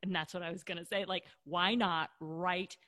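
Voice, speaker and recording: A woman's voice: fairly low pitch, steady tone, moderate pace, pushed and bright, no echo, clean studio sound. A woman hosting an interview, affirming and reflecting on her guest's story. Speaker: host. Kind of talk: interview chat.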